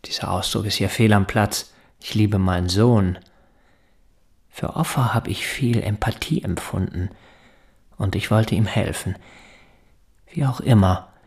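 Creaky, anxious, articulate man. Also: on the low side, measured pace, pauses often, darkish tone. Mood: earnest, confessional. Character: anxious, evasive, articulate father confessing to police. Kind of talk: German radio drama acting with narration.

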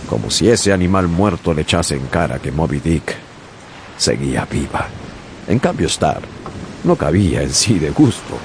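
A man narrating a seafaring story as a sailor in a radio drama, measured and storytelling. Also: a bass voice, creaky, background noise, heavily acted. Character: man, sailor recounting a whale hunt.

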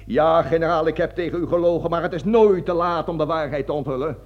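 A man's voice: fairly high pitch, quick pace, lightly acted. A man acting a panicking, cowardly, self-justifying army colonel in a radio drama, blustering, stammering and pleading as he repeats himself. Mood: flustered pleading. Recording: dull lo-fi old radio recording.

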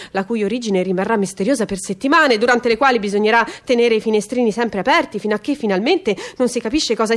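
A woman reads a literary monologue in a theatrical, emphatic way. Her voice sits at a middle pitch and shifts between hushed asides and forceful declarations, as in radio theatre. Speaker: stage reader performing a literary monologue.

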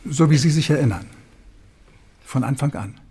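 A husky, medium-pitched man plays a television presenter in a radio play. His delivery is formal, measured and grave, with weighty rhetorical pauses. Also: lively intonation, darkish tone. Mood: careful, serious. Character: solemn male television talk show host. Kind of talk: radio drama, staged studio presentation.

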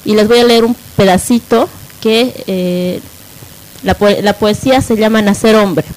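A woman's voice: mid-range voice, measured pace, a little mellow, rough recording. Explanatory woman on radio. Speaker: radio panelist. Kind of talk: informal radio discussion.

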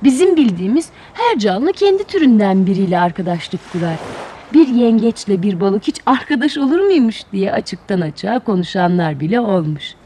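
A woman's voice: medium pitch, sing-song, normal speed, warm tone, a little hiss or hum. A woman narrating a children's fairy tale expressively, as a storyteller.

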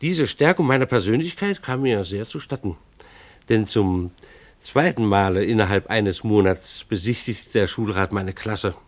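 An actor playing a mild-mannered, beleaguered schoolteacher husband who narrates a German radio play in a wry, earnest, hesitant way. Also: male voice, on the low side, moderate pace, natural voice. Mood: wry narrating. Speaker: narrator and lead character.

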